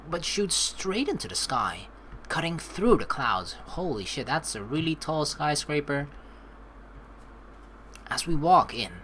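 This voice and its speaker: Low-voiced, animated woman gamer. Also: a little hiss or hum, rough recording. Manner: animated, informal, chatty asides. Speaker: let's play host. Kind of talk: gameplay commentary, reading game text aloud.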